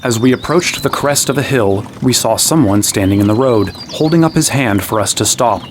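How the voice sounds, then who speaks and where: A man's voice: medium pitch, animated, normal speed, slight background noise, natural voice. An actor playing a young man who narrates his own fantasy adventure as scripted first-person storytelling. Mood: reflective.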